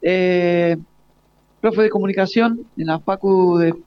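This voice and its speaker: A man's voice: sits high, slow, dry recording. An Argentine man hosting an informal live radio interview in a hesitant, jokey way. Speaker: radio host. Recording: slightly dull, lo-fi live radio.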